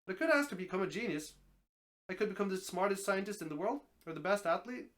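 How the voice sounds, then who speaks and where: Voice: medium pitch, lively intonation, fast, fairly bright tone, natural voice. A reader acts out an everyday man from a story, wary yet hopeful. He talks casually in a middle-range voice, with hesitations, questions and a mildly grumbling temper.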